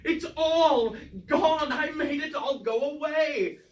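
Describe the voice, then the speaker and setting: A man's voice: very high-pitched, takes it slowly. A man acting an anguished, guilt-ridden robot warrior in a staged tragedy, declaiming in archaic poetic phrases. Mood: frantic, anguished. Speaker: stage actor. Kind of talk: staged reading of mock Greek tragedy. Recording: distant roomy stage recording, faint noise.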